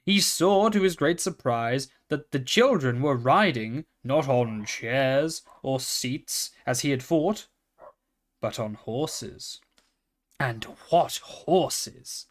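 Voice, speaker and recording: Calm man reading a children's story. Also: mid-range voice, slow. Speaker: reader. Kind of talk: children's story read aloud.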